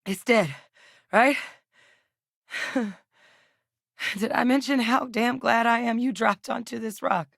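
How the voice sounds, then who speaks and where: A woman's voice: fairly high pitch, slow pace, vocal fry, pauses often, a bit bright, dry recording, studio quality, exaggerated voice. An actress voicing a capable female scientist companion in a game, earnest and matter-of-fact. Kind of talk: video game voice lines.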